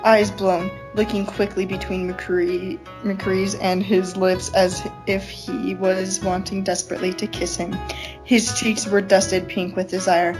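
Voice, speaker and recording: A woman's voice: lowish voice, rough recording. A woman reading fan fiction aloud as a podcast reader. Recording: plucked guitar music behind. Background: plucked guitar music.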